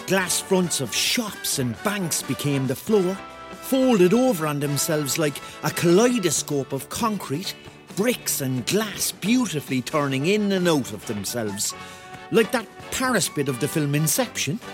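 A man's voice: a little high, sing-song, a little slow. An actor playing a Cork man in his thirties, narrating a radio drama in a wry, colloquial way with a Cork Irish accent. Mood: vivid, absorbed. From Cork, Ireland. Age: 30s. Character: thirty-year-old Cork man, wry, dreamy storyteller. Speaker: narrator and lead character. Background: music.

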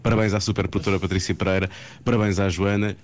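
A man with a European Portuguese accent rambles through a teasing recorded message and chuckles as he goes. His voice sits very low and he races along. A faint hiss runs under the recording. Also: fairly even intonation, fast. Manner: teasing, rambling, laughs while talking. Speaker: former co-host leaving a recorded message.

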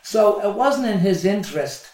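A man's voice: high voice, lively intonation, normal speed, a little mellow, slight background noise. A middle-aged man, a musician guest, telling stories in a rambling, casual way that is full of swearing and "do you know what I mean". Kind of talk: casual podcast storytelling. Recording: echoey room, voice a bit far from mic.

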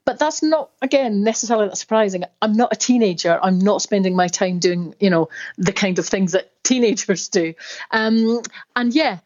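A woman's voice: mid-range voice, normal speed, a little mellow, lo-fi sound. A woman with a Scottish accent, a dictionary editor guest on a radio interview, explaining thoughtfully with fillers and restarts. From Scotland.

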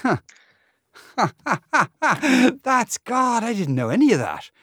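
A man's voice: extremely high pitch, sing-song, drawn-out words, sharp tone, breathy edge, dry recording, studio quality. A man with an Irish accent hosting a conversational radio interview, often repeating words as he forms his questions.

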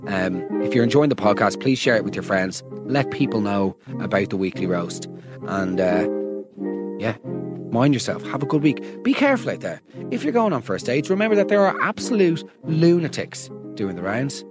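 An Irish man hosting a comedy podcast, reacting to listener stories in an animated, exaggerated, mock-outraged way. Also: medium pitch, normal speed, loud music behind the voice. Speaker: podcast host.

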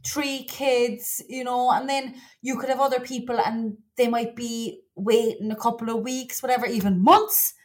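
High-voiced Cork Irish woman chatting. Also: fairly even intonation, normal speed, few pauses, a little mellow. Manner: chatty, colloquial, confiding. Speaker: podcast co-host. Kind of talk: casual gossipy chat.

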